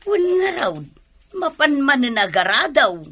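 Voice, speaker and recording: A woman's voice: sits high, sing-song, a little slow, bright, forceful tone, full character voice. A woman acting in a radio drama as a nagging, exasperated wife who complains and scolds. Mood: curious, gossipy.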